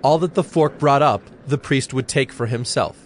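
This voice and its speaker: A man's voice: medium pitch, normal speed, a little hiss or hum. A man narrating scripture from a script in a solemn style.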